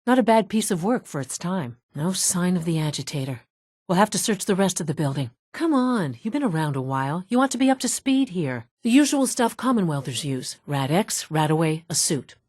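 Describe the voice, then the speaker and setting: Low, husky, dry-witted woman scientist. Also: sing-song, normal speed, darkish tone, dry recording. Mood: matter-of-fact, sardonic. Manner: businesslike, wry, clipped. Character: brusque, dry-witted female scientist in a post-apocalyptic underground institute. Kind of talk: video game character dialogue.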